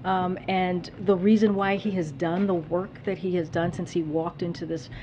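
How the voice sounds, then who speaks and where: A woman speaks from a podium in a briefing room, fielding questions in a measured, firm way. She often restarts her phrases. A faint hiss sits under the podium microphone. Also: lowish voice, steady tone, warm tone. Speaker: press secretary. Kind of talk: press briefing answers.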